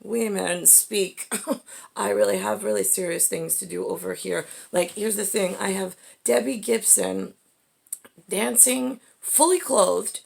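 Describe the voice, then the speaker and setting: A woman with a husky, gravelly voice in a halting, digressive stream-of-consciousness monologue, often checking herself with little questioning tags. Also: medium pitch, darkish tone. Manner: acts out imagined two-way conversations.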